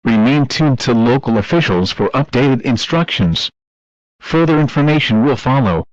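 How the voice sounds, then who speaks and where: A man voicing a sober official emergency announcer, reading a formal scripted public warning in a measured, impersonal manner. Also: medium pitch, lively intonation, close to an everyday voice. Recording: dull, dry lo-fi broadcast.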